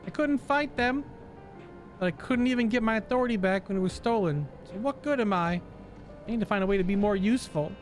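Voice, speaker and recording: A man reading game dialogue aloud as an earnest, dutiful fantasy character with formal, measured wording. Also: high voice, animated, faint music behind, pitched above the performer's own voice. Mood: frustrated.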